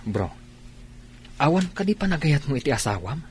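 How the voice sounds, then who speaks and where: A man's voice: on the high side, very animated, moderate pace, a little hiss or hum. A man acting in a radio drama as a loyal soldier who reasons patiently with a comrade. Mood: reasoning.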